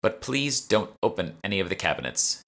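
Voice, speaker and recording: A man's voice: sits low, big pitch swings, brisk, fairly bright tone, heavily acted. A man voicing a polite, earnest, easily flustered woman curator in an audiobook. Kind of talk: audiobook character dialogue.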